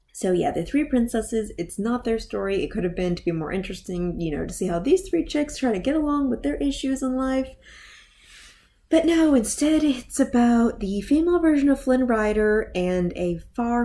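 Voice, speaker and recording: A woman with a fairly high but mellow voice talks through a movie review. She is casual and opinionated, speaking bluntly with an exasperated edge. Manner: exasperated, blunt, with sighs and groans.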